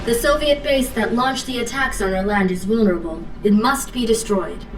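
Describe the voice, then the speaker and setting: An actress playing a composed, dutiful Japanese military intelligence officer, giving formal, clipped briefings in a video game. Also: female voice, a little high, moderate pace, echoey room, far from the mic, background noise, natural voice.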